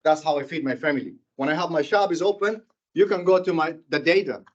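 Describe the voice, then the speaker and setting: Sudanese-accented man, impassioned public commenter, halting speech, muffled meeting recording. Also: fairly high pitch. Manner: impassioned, halting, with many restarts and repetitions.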